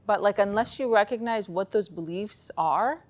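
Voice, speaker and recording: A woman speaking casually in a motivational talk, telling personal stories in an animated, conversational way. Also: medium pitch, moderate pace. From Pakistan, of Afghan heritage. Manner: conversational, animated storytelling, acting out bits of dialogue. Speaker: speaker giving a conference talk on beliefs and performance.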